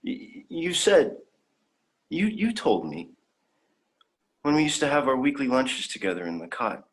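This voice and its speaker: A man's voice: medium pitch, very animated, measured pace. A young man playing a naive, idealistic alien youth in a dramatic reading, earnest and prone to stammering. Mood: earnest, eager. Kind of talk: dramatic fan fiction reading.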